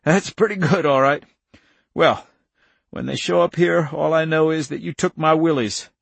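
A man's voice: fairly high pitch, normal speed, vocal fry, no echo, voice pushed up. A man voicing a wounded older farmer in an audiobook story, plainspoken, stern and stubborn, with folksy country talk. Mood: resolute. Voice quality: breathless.

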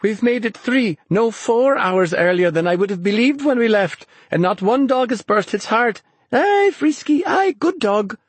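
An excitable young woman who drives sled dogs, acted out by a man, calls out in a high, lifted voice. She is proud and openly fond of her dog, in the style of an audiobook heroine. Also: a little slow, hardly any pauses, husky, dry recording, exaggerated voice. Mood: exultant. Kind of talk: audiobook character voice.

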